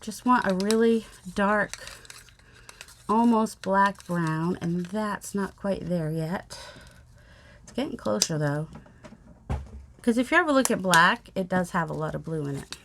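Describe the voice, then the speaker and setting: A woman's voice: mid-range voice, measured pace, long pauses, slight background noise. Chatty woman with a childlike voice. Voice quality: youthful, childlike voice. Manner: chatty, rambling, self-teasing asides. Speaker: mixed media artist. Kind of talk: casual crafting vlog narration. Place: home craft table. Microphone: overhead camera, hands only.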